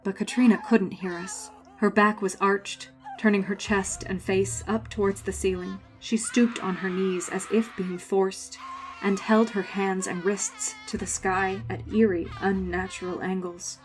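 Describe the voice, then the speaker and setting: A woman's voice: mid-range voice, flat delivery, a little slow, faint music behind, lightly acted, poor audio. A woman playing a haunted adult survivor, narrating a scripted horror drama in a grave, suspenseful way. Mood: dread. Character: haunted grown woman narrating her frightening schooldays. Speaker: narrator and main character.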